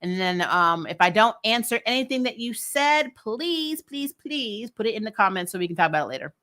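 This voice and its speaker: Bright-voiced, blunt woman host. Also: on the high side, fairly quick. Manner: animated, emphatic, blunt, swears freely. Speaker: host of a TV show recap vlog. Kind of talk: casual opinionated chat, answering viewer comments.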